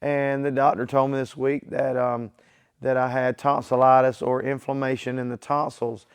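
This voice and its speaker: A man's voice: mid-range voice, little pitch movement, normal speed, clear, resonant tone. A man hosting his own garage channel, talking casually to viewers in a plain, easygoing way, his voice weakened by illness. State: weak voice from illness. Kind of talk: casual workshop vlog talk. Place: home garage workshop.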